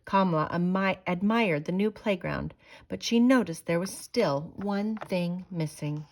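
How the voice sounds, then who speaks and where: A woman reading a children's picture book aloud in an expressive storytelling manner with deliberate phrasing. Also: on the low side, a little hiss or hum. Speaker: storybook reader. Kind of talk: children's read-aloud narration.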